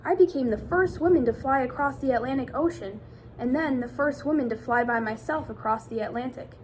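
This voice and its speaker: A woman with a fairly high voice reads a children's book aloud in an expressive style. She speaks straight to young listeners as a teacher would, over some background noise. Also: fairly quick, poor audio. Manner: addresses young children directly with questions.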